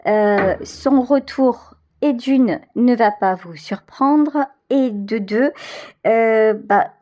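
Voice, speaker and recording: A woman's voice: on the high side, drawn-out words, warm tone. A woman giving a tarot reading straight to the listener, conversational and emphatic, repeating words for stress. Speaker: tarot reader, channel host.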